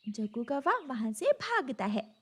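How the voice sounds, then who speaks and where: A woman's voice: high voice, big pitch swings, measured pace, slightly rough. A woman storyteller reading scripted narration for a children's cartoon moral tale.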